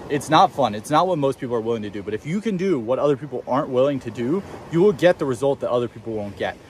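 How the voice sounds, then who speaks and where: A man's voice: medium pitch, animated, a little hiss or hum. An eighteen-year-old man vlogging outdoors on a beach, giving emphatic motivational advice straight to camera. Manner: fast, emphatic self-help preaching. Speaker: young entrepreneur vlogger. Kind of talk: motivational vlog talk to camera.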